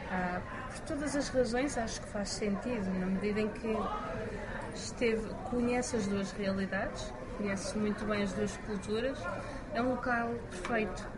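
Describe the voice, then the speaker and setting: A woman lecturer with a European Portuguese accent, answering in a measured way with hesitant fillers. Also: medium pitch. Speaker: university lecturer. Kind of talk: interview answers. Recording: loud background noise.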